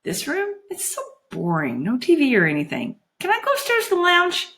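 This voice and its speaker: A woman's voice: medium pitch, big pitch swings, normal speed, a bit bright. A woman voicing a flighty, sulky teenage girl in a storybook, chatty and slangy, gushing one moment and petulant the next. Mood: whiny.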